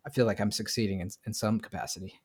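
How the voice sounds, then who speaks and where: A man with a slightly low voice chats casually. His delivery is hesitant and apologetic, with phrases that stop and start. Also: steady tone, fast talker, a little mellow, husky. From Vermont, United States. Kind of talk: casual chat.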